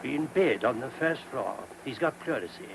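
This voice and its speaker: A man's voice: mid-range voice, expressive, normal speed, creaky. A man playing an anxious, courteous monk in a radio play, hesitant and apologetic in manner. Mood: troubled, earnest. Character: anxious, courteous monk guiding a police inspector. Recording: noisy old radio recording.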